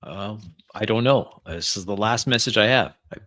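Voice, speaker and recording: A man's voice: on the low side, normal speed, bright, forceful tone, dry recording, studio quality. A man playing a tabletop roleplaying game, speaking in character and narrating his own actions.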